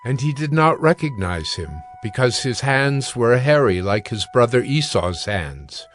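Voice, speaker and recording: Mid-pitched man narrating scripture over music. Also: very animated, measured pace. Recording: music under the voice.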